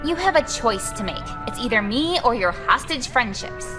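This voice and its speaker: A pushy high school girl in a visual novel game. Her high voice is blunt and insistent, trailing long reverb, with music behind it. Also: big pitch swings, normal speed. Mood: fed up.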